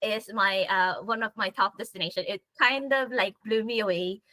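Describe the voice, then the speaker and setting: A woman's voice: medium pitch, moderate pace, bright, forceful tone, dry recording. A young adult woman chatting casually as a panel guest, laughing often and saying "like" a lot. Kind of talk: casual chat.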